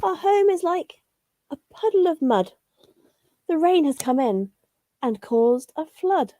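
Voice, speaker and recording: Polite little storybook worm played by a woman: high, soft-toned, sing-song, pleading for help. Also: big pitch swings, takes it slowly, no room sound, exaggerated voice. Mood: politely pleading. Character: small homeless worms, polite and pleading, storybook.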